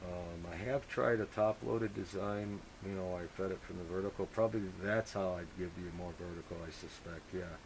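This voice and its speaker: A man talking shop as a radio hobbyist, halting and rambling as he thinks out loud. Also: low voice. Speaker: amateur radio operator. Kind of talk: casual technical radio chat. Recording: hissy, noisy radio contact recording.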